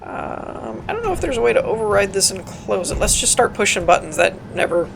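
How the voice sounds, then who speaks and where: Hoarse raspy woman rambling through gameplay. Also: mid-range voice, sing-song, a little fast, noisy background. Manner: rambling, chatty, self-deprecating, many ums. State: hoarse from a cold. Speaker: gaming channel host. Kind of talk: casual gameplay walkthrough commentary.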